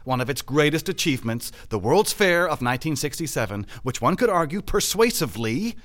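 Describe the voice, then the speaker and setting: A man's voice: a little high, big pitch swings, fairly quick, a bit bright. A man acting as a glib, cavalier government bureaucrat in a satirical audio drama, wordy, mock-grandiose and evasive. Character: glib, cavalier male government arts bureaucrat, former actor.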